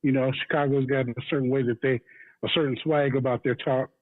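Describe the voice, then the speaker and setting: A man's voice: mid-range voice, fairly even intonation, a little fast. A laid-back man with a Midwestern American accent, chatting casually as a guest with fillers and chuckles. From US mainland, Midwest. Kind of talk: casual chat. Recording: tinny phone line, dry.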